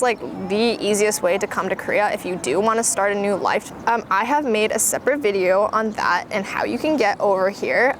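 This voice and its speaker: Upbeat vlogger in her twenties, slightly high voice, constant background noise; chatty, filler-heavy delivery. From Canada. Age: 20s. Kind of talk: casual vlog talk to camera.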